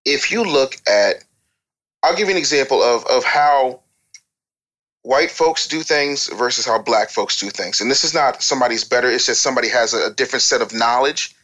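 A man's voice: medium pitch, moderate pace, pushed and bright, rough recording. A man giving candid advice and telling anecdotes as a guest expert, speaking bluntly and emphatically with casual swearing. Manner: blunt, emphatic, profane, lecturing. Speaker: guest expert on music business and promotion.

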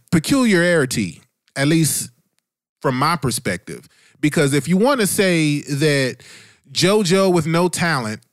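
A man's voice: on the high side, no echo, studio quality. A man on a discussion panel, giving his opinions in a casual, colloquial and emphatic way. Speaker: talk show panelist.

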